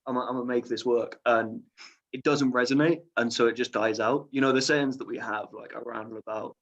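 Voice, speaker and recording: Casting: young male guest, mid-pitched, halting and rambly, muffled lo-fi mic. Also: steady tone. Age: young adult. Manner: hesitant, rambling with many fillers. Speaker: podcast guest. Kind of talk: casual interview.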